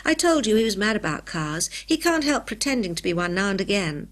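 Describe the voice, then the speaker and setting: A woman's voice: medium pitch, sing-song, brisk, natural voice. A woman voicing a genial, talkative, absent-minded male professor in a children's story, hearty and unbothered. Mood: breezy.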